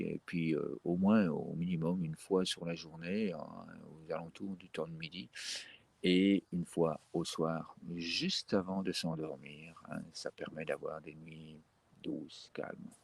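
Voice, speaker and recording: A man with a very low, gravelly voice leads a guided breathing session. He speaks gently and unhurriedly, often pausing on fillers and starting phrases over. The sound is husky and dry, close and free of room echo.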